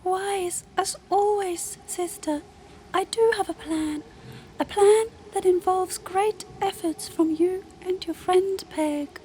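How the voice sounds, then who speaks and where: A woman voicing an ancient, self-righteous celestial sister in an audio drama, with a formal, measured, lecturing manner. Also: very high-pitched, mellow, slight background noise. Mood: solemn.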